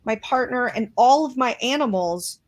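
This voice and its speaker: A woman's voice: on the high side, lively intonation, normal speed. Earnest, reflective woman guest. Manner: earnest, reflective, many restarts. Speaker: interview guest. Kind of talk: interview conversation.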